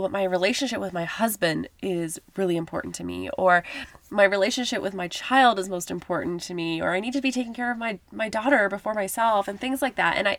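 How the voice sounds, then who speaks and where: A woman's voice: fairly low pitch, no echo. Woman podcast host, slightly nasal from a cold, encouraging casual self-help chat, dry close sound. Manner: warm encouraging self-help talk with filler likes. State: has a cold, a little nasal.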